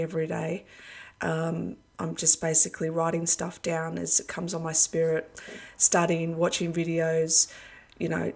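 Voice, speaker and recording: A woman speaks to her online followers as a preacher, hoarse as though losing her voice. Her tone is low and gravelly with little rise and fall. She rambles earnestly, leaning on fillers as she goes. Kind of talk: casual video address to followers.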